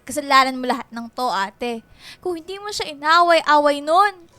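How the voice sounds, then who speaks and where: A younger sister in a Filipino radio drama. Her voice is extremely high and bright, whiny and full of feeling, recorded very dry. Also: moderate pace, no echo. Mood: blaming, upset. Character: tearful, blaming younger sister.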